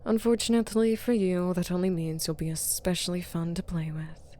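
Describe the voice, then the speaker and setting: Woman playing a female demon captor, low mellow voice, authoritative, possessive, taunting, breathy murmurs, ASMR roleplay. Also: level tone, a little hiss or hum, natural voice. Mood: taunting, confident. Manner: authoritative, teasing, drawn-out, often dropping to breathy murmurs. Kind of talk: ASMR roleplay monologue to the listener.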